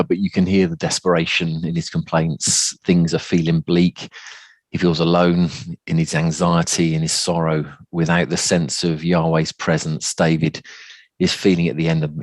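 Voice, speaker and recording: A man with a notably deep voice delivers a reflective sermon. He builds his thoughts through repeated phrases, and the sound is dry with hardly any room in it. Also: no echo, poor audio. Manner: reflective, phrases built by repetition. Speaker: preacher. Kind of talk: sermon on a psalm.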